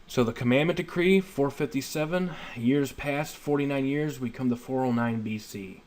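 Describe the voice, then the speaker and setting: A man's voice: mid-range voice, normal speed, darkish tone. A man in his thirties giving an informal, plainspoken Bible study lesson, explaining step by step. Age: 30s. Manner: plainspoken, step-by-step, repeatedly checking understanding. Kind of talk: informal explanatory lecture with scripture reading. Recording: noisy homemade voice-over recording.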